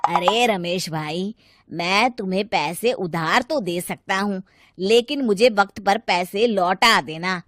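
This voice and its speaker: A woman voicing a cautious office friend who gives measured advice in a moral story. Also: medium pitch, a bit bright, no echo, studio quality, lightly acted. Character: cautious, advising male office colleague and friend.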